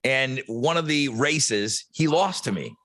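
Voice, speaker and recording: Easygoing radio man, lively pitch. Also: slow. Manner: easygoing, self-deprecating, boastful storyteller. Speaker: radio host, podcast co-host. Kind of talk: casual chat.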